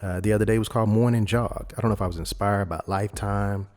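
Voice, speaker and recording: A man presenting a software tutorial in a casual, rambling, conversational way, talking informally through each step. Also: on the low side, steady tone, quick pace. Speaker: video editing tutorial host.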